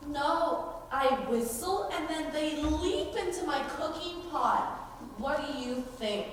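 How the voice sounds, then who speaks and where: Teen girl as feisty haughty nun, slow, echoey. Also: high-pitched, animated. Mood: sarcastic, defiant. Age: teenager. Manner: emphatic, haughty, sarcastic. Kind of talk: high school stage play acting. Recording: distant, echoey hall with background noise.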